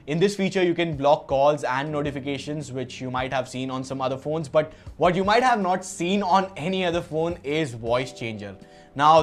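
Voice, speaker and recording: A young man with an Indian accent narrating an unboxing as a tech reviewer. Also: a little high.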